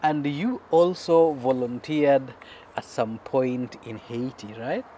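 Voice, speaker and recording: A man's voice: mid-range voice, slow, dark tone, slight background noise. A man with a Kenyan accent chatting casually outdoors as he co-hosts a family garden vlog.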